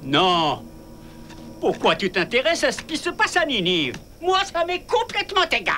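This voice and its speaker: An actor voicing a cranky, stubborn, self-righteous prophet in a cartoon, grumbling and protesting. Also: male voice, extremely high pitch, wildly swooping pitch, normal speed, a little hiss or hum, heavily acted. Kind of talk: animated cartoon dubbing. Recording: loud music behind the voice.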